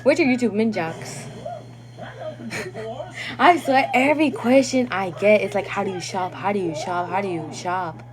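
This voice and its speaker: A woman's voice: mid-range voice, unhurried, pauses often, music in the background. A young woman chatting casually on a phone livestream; English is not her first language, and she laughs often and sometimes stumbles over words. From Guatemalan family.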